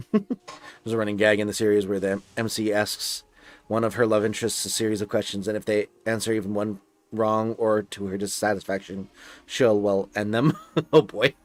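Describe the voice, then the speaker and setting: A man's voice: fairly low pitch, fairly even intonation, moderate pace. A young man with a slightly nasal voice streaming live, chatting casually and rambling with lots of filler words and restarts. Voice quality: a bit nasal.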